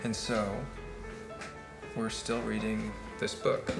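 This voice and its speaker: A man's voice: fairly low pitch, monotone, slow pace, vocal fry. An actor playing a rambling, fatalistic party guest who holds forth philosophically in a naturalistic film monologue, building his argument step by step in a conversational lecture. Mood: musing. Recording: roomy film dialogue with music behind. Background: music.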